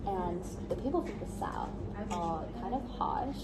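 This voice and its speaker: A woman's voice: lowish voice, expressive, measured pace, creaky, heavy background noise. A young woman from Vietnam with a Vietnamese accent, chatting casually in an interview and filling her answers with "like". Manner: chatty, heavy use of "like", laughs often. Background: loud crowd chatter.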